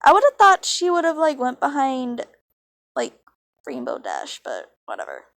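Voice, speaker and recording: A woman's voice: sits high, wildly swooping pitch, measured pace, no room sound, clean studio sound. A young woman reading a story aloud casually, with informal, self-deprecating, giggly asides. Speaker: fan fiction reader. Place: dry, close recording on a phone.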